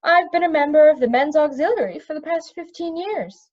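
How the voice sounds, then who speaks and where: A woman's voice: sits high, fairly quick, a little mellow, dull audio, pitched above the performer's own voice, natural voice. A woman voicing a flustered, earnest middle-aged prince and reluctant wicked uncle, stammering and sighing, in a storybook reading. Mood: rueful, earnest.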